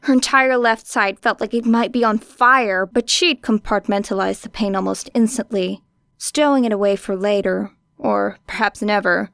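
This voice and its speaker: A woman's voice: mid-range voice, normal speed, gravelly, fairly bright tone, no echo, studio quality. A woman reading a story expressively as an audiobook narrator. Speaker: audiobook reader. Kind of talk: expressive scripted fiction narration.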